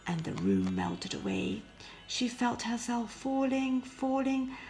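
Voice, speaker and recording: A woman narrating a scripted fairy tale as an expressive storyteller, often hushing her phrases. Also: on the high side, creaky, poor audio. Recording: faint background noise.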